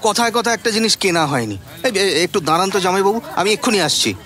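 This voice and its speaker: A man's voice: high-pitched, expressive, fast, a bit bright. A man playing a jovial, teasing young brother-in-law in a radio drama, chuckly and stammering, with a West Bengal Bengali accent. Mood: playful, hurried.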